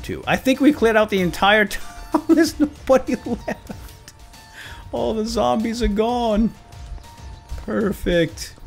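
A man hosting a gaming let's play, giving playful, exuberant commentary as he talks to himself and viewers. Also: on the low side. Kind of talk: playful game commentary with light role-play.

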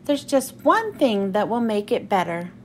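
A woman voicing a tiny, sweet, gracious flower fairy in a storybook, speaking with gentle delight. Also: mid-range voice, sing-song, a little slow, slight background noise, pitched below the performer's own voice. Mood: gracious, pleased.

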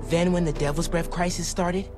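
A man's voice: sits high, steady tone, normal speed, natural voice. An actor playing an earnest, upbeat teenage boy superhero in a video game, with an American accent and youthful slang. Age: young adult. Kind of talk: video game cutscene acting and narration. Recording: music behind the voice.

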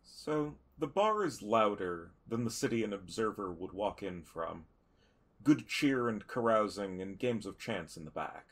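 A man tells a first-person fantasy tale as a tabletop player. His voice is low and husky, with a heavy crackle of fry. The phrasing is literary and deliberate. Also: sing-song. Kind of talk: dramatic first-person character narration.

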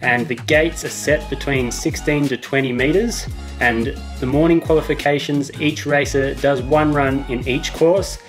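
A young man presenting a ski racing recap vlog from his living room, in a measured, even delivery. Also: mid-range voice, soft background music. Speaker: ski vlogger. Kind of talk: scripted recap commentary. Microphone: small mic on tripod at desk.

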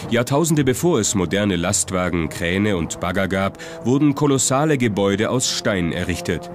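Male narrator for documentary, lower register, scripted read over a prominent music bed. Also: expressive, moderate pace.

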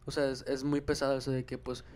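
Smooth-voiced young guest. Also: male voice, medium pitch, completely flat delivery, fast, darkish tone. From Mexico. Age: young adult. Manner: easygoing, hesitant fillers. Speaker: podcast guest, musician. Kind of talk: casual interview chat. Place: small room, seated on a couch. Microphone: handheld microphone.

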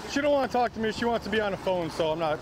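A man's voice: high-pitched, lively intonation, rapid-fire, a crowd in the background. A male police officer giving curt, firm orders at a roadside, recorded on a body camera outdoors. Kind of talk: police commands on duty. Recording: loud traffic noise, body camera.

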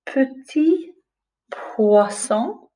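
A woman in her forties teaching young children through a picture book, speaking in a carefully paced, expressive way. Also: sits high, recorded from a distance, low-quality recording. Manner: slow, clearly paced, with expressive questioning intonation. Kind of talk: storytime lesson for young children. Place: small plain room indoors.

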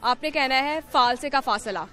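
High-voiced woman hosting a street quiz. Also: moderate pace. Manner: lively, laughs often, coaxing. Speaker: TV street-interview host. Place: outdoors in a city zoo park. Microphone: handheld mic. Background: light music and crowd chatter.

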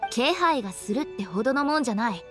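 A woman's voice: on the high side, animated, fast talker, lightly acted. A woman playing a playful, shrewd young funeral director in an anime game, with teasing, sing-song, probing delivery. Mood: probing, suspicious. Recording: background music under voice.

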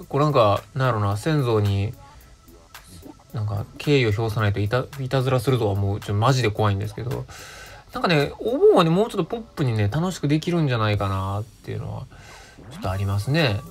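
Guy around 30, kansai accent, animated pitch, doing a chatty solo radio segment. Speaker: radio show host. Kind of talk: casual monologue for a remote radio show.

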